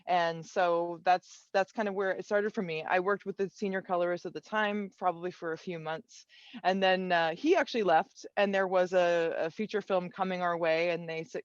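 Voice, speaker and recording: A woman colorist on an online panel, telling her career story in a relaxed, thoughtful way with many fillers and restarts. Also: on the low side, normal speed, dry recording, muffled recording. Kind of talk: casual panel talk.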